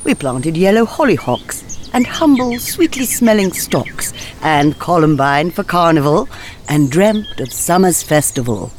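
An actress with an English accent playing a refined, aristocratic woman writer in a wistful, measured first-person audio monologue. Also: lowish voice, big pitch swings, darkish tone, a little hiss or hum. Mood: lyrical. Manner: measured, literary, genteel delivery. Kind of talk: scripted first-person monologue, reflective storytelling.

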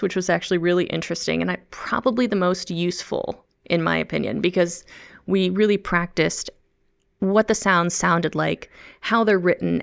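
Gravelly low-voiced Midwestern woman. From Midwest United States. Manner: chatty, laughs often, frequent ums and restarts. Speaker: co-host, language researcher. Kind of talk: casual interview talk.

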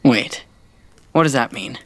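A man as an indignant teenage prince, bright-voiced. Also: mid-range voice, unhurried, natural voice. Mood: alarmed protest. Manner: incredulous, indignant, protesting. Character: exasperated, headstrong teenage prince, about eighteen. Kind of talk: scripted audio fairytale comedy acting.

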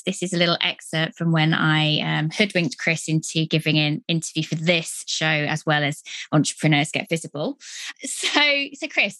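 A woman hosting a podcast interview, upbeat and playful with quick affirmations. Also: fairly low pitch, fairly bright tone, no room sound. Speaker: podcast host. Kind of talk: casual interview talk.

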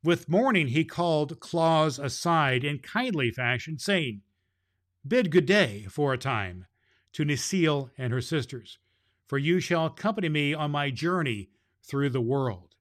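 Man, Santa Claus host, children's story reading, grandfatherly, chuckling, slightly high voice. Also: lively intonation, unhurried.

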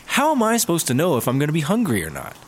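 An actor playing a breezy, argumentative, cocky slacker cop in a sci-fi comedy audio drama. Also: male voice, on the high side, huge pitch swings, rapid-fire, slight background noise. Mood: cocky, casual. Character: cocky, laid-back, hungry buddy-cop slacker.

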